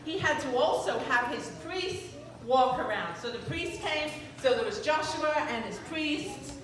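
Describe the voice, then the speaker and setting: A woman with a high voice tells a children's story in a very echoey hall. She explains things simply and informally, some distance from the mic, with audience sounds around her. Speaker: narrator of children's puppet show.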